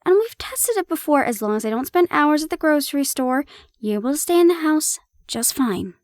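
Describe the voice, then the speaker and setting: A woman playing a harried but affectionate young girlfriend in an audio roleplay, expressive, teasing and coaxing. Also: high-pitched, a little fast, dry recording, clean studio sound. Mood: coaxing, busy.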